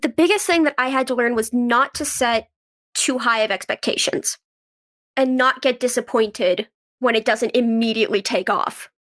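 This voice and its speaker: Higher-voiced woman in casual conversation. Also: normal speed, a bit bright. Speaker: podcast guest, writer and actress.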